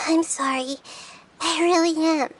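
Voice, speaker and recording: A woman voicing a small, wise and compassionate girl deity in a video game, gentle, measured and thoughtful. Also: extremely high pitch, background noise, full character voice. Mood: tender, apologetic. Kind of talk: scripted video game voice acting.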